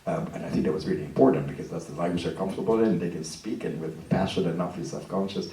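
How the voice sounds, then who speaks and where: A man from Lebanon moderating an audience Q&A with a handheld mic in a hall, soft-spoken and reflective. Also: low voice, fast, distant mic. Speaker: interviewer and moderator. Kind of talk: hosting an audience Q&A. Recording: roomy hall taped from afar.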